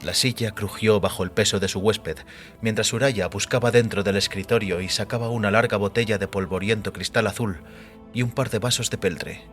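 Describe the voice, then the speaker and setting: A man's voice: fairly low pitch, fairly even intonation, a little fast, a bit bright. A man giving a scripted, dramatic literary narration for an audio story. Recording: background music under voice.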